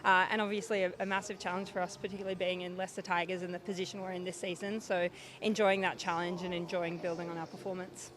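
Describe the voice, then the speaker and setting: A loud, upbeat Australian woman, interviewed pitchside at a rugby ground. She talks quickly and jokes easily. A stadium crowd rumbles clearly behind her. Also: little pitch movement. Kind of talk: post-match-style sports interview.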